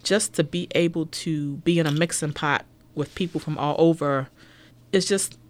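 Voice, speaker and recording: A middle-aged woman co-hosting a local radio show, reminiscing in a soft, heartfelt way. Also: sits low, moderate pace. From United States. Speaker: radio co-host. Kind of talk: casual radio chat.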